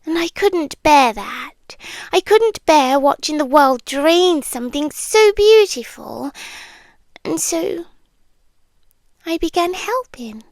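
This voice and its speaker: A British woman playing an ancient, possessive, obsessively devoted immortal lover in an intimate ASMR roleplay, speaking in a hushed, breathy, deliberate way that often slips into whispers. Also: sits high, expressive, dry recording, full character voice. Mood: softly menacing devotion. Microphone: close microphone, dry.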